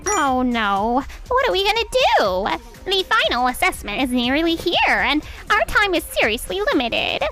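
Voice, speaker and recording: Dramatic manga-dub wife in business, played by a woman, very high swooping voice, defensive. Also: sing-song, a little slow, few pauses, a bit bright, exaggerated voice. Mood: panicked. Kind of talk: anime-style manga dub acting. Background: music.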